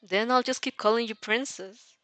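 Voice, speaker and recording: A woman's voice: on the high side, moderate pace, sharp tone, no room sound. Woman as a cocky, teasing male villain. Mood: mocking, amused.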